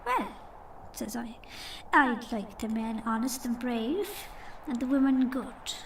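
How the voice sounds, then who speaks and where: A woman's voice: fairly high pitch, slow, slight background noise. A woman playing a droll little leprechaun king, telling his tale in folksy phrasing in an audio drama. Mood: wry, recounting. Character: leprechaun king, small old male storyteller, droll and wry.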